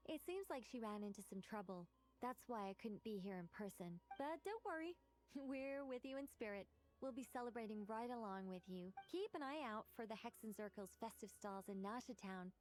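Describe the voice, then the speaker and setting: A woman's voice: fairly high pitch, lively intonation, normal speed, a little mellow, soft background music, natural voice. A woman voicing a playful, chatty and wise fairy guide in a video game, with a breezy, theatrical, self-assured delivery. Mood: cheerful.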